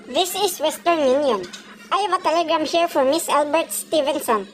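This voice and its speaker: A brisk, impersonal telegraph clerk, acted by a woman in a radio drama. The voice is very high and formally recited. Music plays behind it. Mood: impersonal, polite. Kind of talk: suspense radio drama acting.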